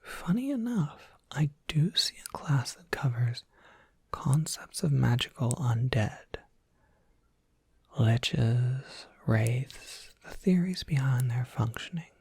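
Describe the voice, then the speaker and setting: A performer voicing a kindly, wry professor advising a student in an ASMR roleplay, in a soft breathy whisper with an unhurried, helpful manner. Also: mid-range voice, lively intonation, dry recording, heavily acted. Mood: gentle, helpful. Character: kindly, wry tenured professor at a monster academy. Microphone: close microphone, dry.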